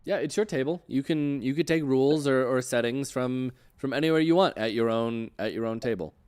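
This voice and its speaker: A man's voice: mid-range voice, brisk, a little mellow, clean tone. A man hosting an interview in a casual livestream chat style, talking in a loose, informal, rambling way. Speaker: interview host.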